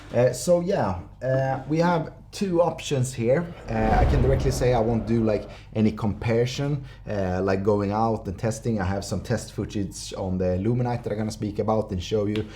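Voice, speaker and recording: A man, giving a casual, unscripted product review in a halting, hesitant way. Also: fairly low pitch, mellow, a little hiss or hum. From Finland. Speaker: presenter of a motorbike gear review. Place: indoor workshop studio.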